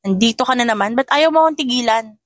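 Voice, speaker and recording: A woman's voice: a little high, quick pace, no echo, studio quality, lightly acted. A woman voicing a feisty young female janitor in an audio drama, cheeky and defiant, though polite to elders. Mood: defiant, irritated. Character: feisty young female janitor who fights back at bullies.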